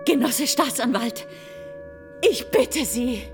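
A woman's voice: fairly high pitch, expressive, slow pace, over music. An actress playing an old, grieving mother in a German radio drama, tearful, imploring and emotional. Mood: desperate insistence. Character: grieving, pleading old mother, hardened survivor.

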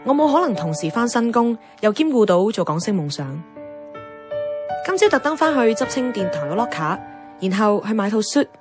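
A woman's voice: medium pitch, big pitch swings, normal speed, music in the background, close to an everyday voice. A woman acting a wistful, earnest young Hong Kong woman in a Cantonese radio drama. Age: young adult. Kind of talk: radio drama acting with reflective first-person narration.

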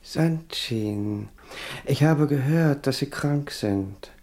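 A meek, persistent peddler in a radio play. He talks slowly in a creaky, muted voice, with a hesitant, insinuating manner. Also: mid-range voice, animated, a little mellow. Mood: coaxing. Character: meek, persistent small-time hawker of lottery tickets. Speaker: actor. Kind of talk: German radio drama acting.

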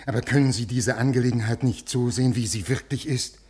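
An actor playing a desperate, worn-out scholar of fifty in a 1950s radio play, pleading earnestly in educated speech with a creaky, husky voice. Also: male voice, medium pitch, steady tone, a little fast, a little mellow, natural voice. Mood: weary, imploring. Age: 50s.

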